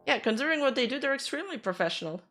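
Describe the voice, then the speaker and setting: A woman's voice: high-pitched, animated, racing, fairly bright tone, soft background music. A woman VTuber streamer giving casual reaction commentary, blunt and sarcastic, swearing freely.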